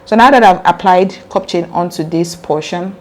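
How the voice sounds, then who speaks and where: A woman with a raspy voice hosting a casual step-by-step sewing tutorial, chatty and earnest as she talks straight to her viewers. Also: lowish voice, normal speed, darkish tone.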